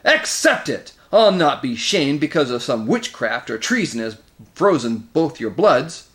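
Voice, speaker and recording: A man's voice: mid-range voice, moderate pace, vocal fry, a little mellow, close to an everyday voice. A man voicing a proud, blustering king in a fantasy storybook, roaring indignantly in archaic speech. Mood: enraged, indignant. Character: enraged proud male king, blustering and bawling.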